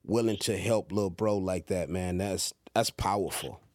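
A man's voice: low-pitched, moderate pace, dry recording, studio quality. A man hosting a casual podcast interview, encouraging his guests and often repeating and restarting his words. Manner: warm-hearted, repeats words and restarts a lot. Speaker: podcast host.

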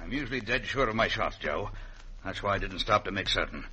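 Through faint old-radio noise comes a cold, cultured outlaw boss. The voice is lowish and sharp-edged, with pompous, precise phrasing and genteel menace, as in a vintage western drama. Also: male voice, animated, brisk, rough recording. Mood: cold, commanding.

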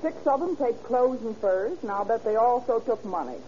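A muffled old radio recording with faint noise carries an actress as a confident American woman records clerk. Her pitch swings lively over a mellow tone, and she explains briskly, like a born detective. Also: lightly acted. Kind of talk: old-time American radio drama acting.

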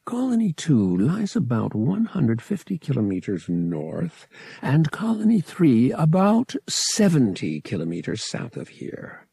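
A man voicing an alien priest who guides pilgrims, speaking in a formal, measured, explanatory way. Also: mid-range voice, huge pitch swings, creaky, warm tone, husky, no room sound, clean studio sound, pitched above the performer's own voice, exaggerated voice. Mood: formal, proud.

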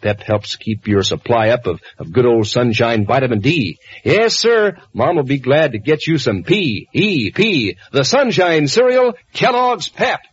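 A man's voice: medium pitch, sing-song, a little slow, few pauses, husky. An American man announcing in a 1940s radio advertising style, folksy, eager and salesmanlike. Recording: muffled old radio broadcast recording.

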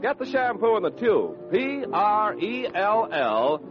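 A male 1940s radio announcer with a polished sales delivery. His voice is high and very animated. It sits over music on a muffled old recording. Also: takes it slowly.

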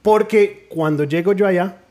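A man's voice: high-pitched, moderate pace, a little mellow. A man from New Jersey, a guest on a podcast, telling stories in casual talk and laughing often. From New Jersey, United States.

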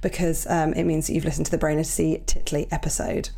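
Irish female host, lowish voice, morning croak, chatty and upbeat. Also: level tone, fast talker, warm tone. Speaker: podcast host. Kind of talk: casual chat.

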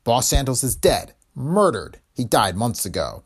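A man's voice: mid-range voice, sing-song, husky. A man doing a cold, mysterious caller. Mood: scornful. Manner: slow, scornful pronouncements. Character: cold mysterious male voice on phone.

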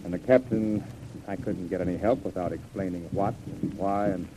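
A man's voice: low voice, fairly even intonation, normal speed, natural voice. A man playing a grave, wary major on a secret mission, in old radio adventure serial style. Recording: noisy old radio recording.

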